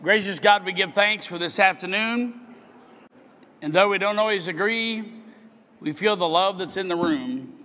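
An older man, a bishop, giving an earnest sermon address at a podium, slowing down as he reads scripture. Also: high voice, bright, forceful tone. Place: large hall at a podium. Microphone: podium microphone. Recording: long hall reverb.

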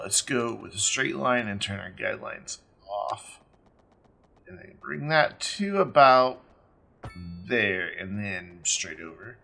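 A low-pitched man, hoarse and raspy from a lingering cold, chats through a city-building game session. His tone is sharp and bright despite the roughness, and he rambles, trailing off with ums and restarting his sentences. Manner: rambling, blunt, with ums and self-corrections. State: sick, rough raspy voice with cough. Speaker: let's play host. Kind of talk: casual gameplay commentary.

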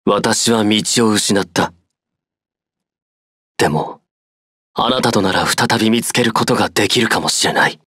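A melancholy, reserved mage with a very low, husky voice. He speaks in a polite, subdued way. The recording is close and dry, with no room sound. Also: fast talker, pauses often, sharp tone, no room sound. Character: calm, melancholy male scholar-mage, reserved. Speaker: game character. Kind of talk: game voice clips.

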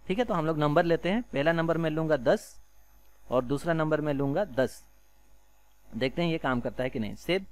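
A man's voice: medium pitch, darkish tone. A man with an Indian accent giving an informal, step-by-step programming tutorial in a casual, self-deprecating way, often checking in as he goes.

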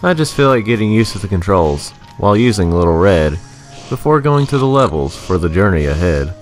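A man's voice: low voice, very animated, brisk, faint music behind. A man reading a scripted, rhyming video game review in a sarcastic, sing-song manner.

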